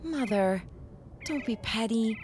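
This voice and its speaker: Faint background noise surrounds a refined, somewhat high-voiced grown daughter in a period audio drama. She is genteel and formal, wistful but haughty. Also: animated, slow pace, a little mellow. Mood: defensive, exasperated.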